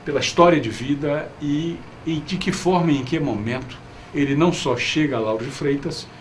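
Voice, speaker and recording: A man's voice: on the high side, normal speed. A man with a Brazilian accent hosting an interview program, speaking spontaneously and courteously with frequent affirmations. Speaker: TV interview show host. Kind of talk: interview, spontaneous studio talk. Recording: clear background noise.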